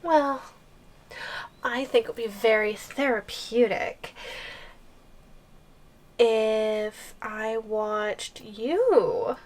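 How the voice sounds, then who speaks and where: An obsessive giantess girlfriend , acted by a woman, in an ASMR roleplay. Her voice sits fairly high and moves very slowly, with coy hesitations. She sounds sweet and playful while keeping a possessive, menacing edge. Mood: teasing menace. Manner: slow, coy, hesitant phrasing with teasing menace.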